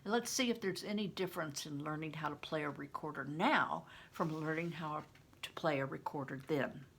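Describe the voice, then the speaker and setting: An older woman reading a story aloud in a deliberate, dramatic, teacherly way, with chatty explanatory asides. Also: deep voice, vocal fry, a bit bright, a little hiss or hum.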